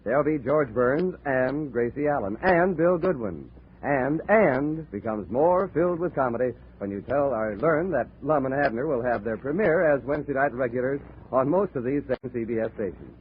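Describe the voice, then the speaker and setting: A man's voice: medium pitch, expressive, moderate pace. A man working as a 1940s network radio announcer, reading scripted promotions in a polished manner. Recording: noisy, tinny 1940s radio recording.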